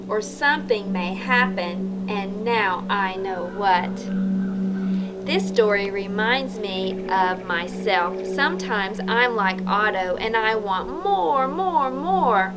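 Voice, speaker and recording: A woman reading a story aloud to young children in an expressive, deliberate way, inviting them to join in. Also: mid-range voice, noisy background. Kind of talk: read-aloud storytelling for preschoolers.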